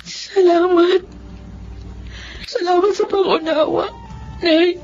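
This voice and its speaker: Heartfelt grown daughter, lo-fi. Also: female voice, very high voice, fairly even intonation, slow, a little mellow. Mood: grateful, moved. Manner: heartfelt, emotional delivery. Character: earnest, apologetic grown daughter, self-sacrificing single mother. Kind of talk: Filipino radio drama acting and first-person narration. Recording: dull lo-fi radio recording with music.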